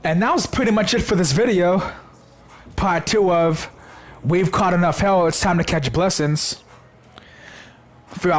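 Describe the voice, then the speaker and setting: A man preaching in a casual, slangy way, hoarse from a sore throat, excited and repeating words for emphasis. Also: on the high side. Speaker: religious video host.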